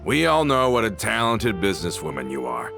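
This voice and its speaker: A man's voice: on the low side, huge pitch swings, brisk, heavily acted. A man voicing a courteous, loyal henchman in a video game, earnest, formal and respectful. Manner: polite, formal, deferential. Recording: music clearly under the voice. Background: game music.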